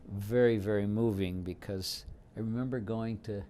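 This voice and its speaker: A man in his seventies from Detroit, whose first language was Yiddish, recalling his past in an unhurried oral history interview with frequent fillers. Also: on the low side, steady tone, warm tone, a little hiss or hum. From Detroit, United States. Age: 70s.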